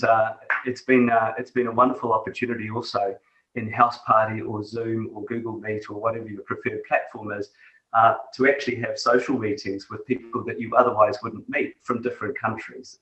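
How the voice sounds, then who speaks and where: A man's voice: fairly low pitch, a bit bright, highs cut off. A middle-aged man with a New Zealand accent, reflecting as an interview guest, with many fillers and restarts. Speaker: guest, tech executive. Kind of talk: conversational interview. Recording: echoey room, distant from mic.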